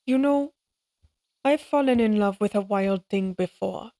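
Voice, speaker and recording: An actress playing a curious, romantically hopeful woman in an audio drama, speaking in a gentle, musing and hesitant manner. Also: mid-range voice, a little slow, lightly acted. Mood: wistful, hesitant. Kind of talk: cinematic audio roleplay acting.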